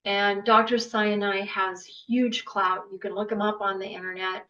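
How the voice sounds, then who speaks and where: A woman telling a long story in casual chat as a podcast guest, animated, with filler words. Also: medium pitch, normal speed. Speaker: patient's wife, podcast guest. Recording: muffled lo-fi podcast audio.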